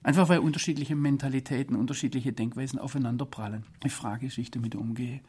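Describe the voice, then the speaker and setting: A man from Swabia, giving earnest, deliberate interview answers. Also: mid-range voice, steady tone, darkish tone. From Swabia, Germany. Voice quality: husky. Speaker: interview guest.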